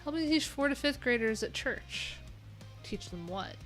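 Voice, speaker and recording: A woman's voice: on the high side, expressive, measured pace, a bit bright, low-quality recording. A woman hosting a casual livestream, reading out viewers' answers and adding loose, chatty asides with lots of fillers. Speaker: livestream host. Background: pop punk music playing.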